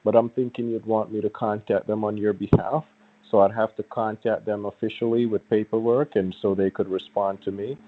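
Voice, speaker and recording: A low-pitched man works through a persuasive phone pitch in a formally polite way. He hesitates and repeats phrases as he explains. His voice comes over a dull, band-limited telephone line. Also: little pitch movement. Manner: halting, repetitive, formally polite. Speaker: phone caller posing as a prize agent. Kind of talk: persuasive phone sales talk.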